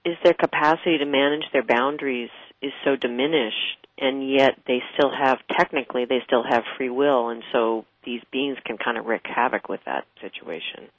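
A woman's voice: extremely low pitch, moderate pace, no room sound, narrow, tinny audio. A woman hosting an interview, enthusiastic and affirming, often laughing along with her guest. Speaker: interview host. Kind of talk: conversational interview.

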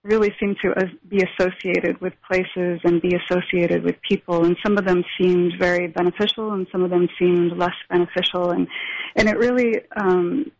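A woman who is a spiritual practitioner and teacher, answering interview questions thoughtfully, with many pauses and restarts. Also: lowish voice, fairly even intonation, dry recording, low-quality recording. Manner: thoughtful, with frequent fillers and restarts.

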